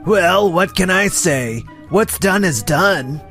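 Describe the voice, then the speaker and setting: A man's voice: high-pitched, lively intonation, takes it slowly, gravelly, fairly bright tone, soft background music, heavily acted. A man voicing a smug, defensive supermarket executive in a comic dub, with a dismissive manner. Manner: dismissive, self-justifying. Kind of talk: dubbed comic voice acting.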